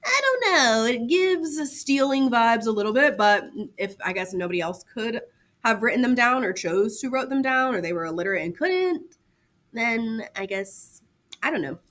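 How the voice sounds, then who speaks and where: A woman's voice: on the high side, sing-song, moderate pace, fairly bright tone. A woman teacher giving a casual lesson to students, talking informally with slang and chatty asides and repeating key terms.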